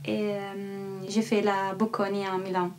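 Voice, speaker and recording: A woman in her twenties with an Italian accent, an exchange student speaking shyly with many pauses. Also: mid-range voice, little pitch movement, slight background noise. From Italy. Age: 20s. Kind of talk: testimonial interview.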